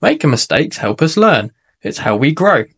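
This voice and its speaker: A man's voice: a little high, sing-song, normal speed, a bit bright, slightly rough, full character voice. Super Potato, a man playing a reassuring hero potato.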